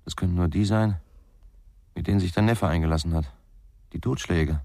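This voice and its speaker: Gravelly, brooding middle-aged man. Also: deep voice, normal speed, a little mellow, no echo, studio quality. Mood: wary, terse. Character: brooding, tipsy middle-aged male ex-policeman. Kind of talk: German radio crime drama acting.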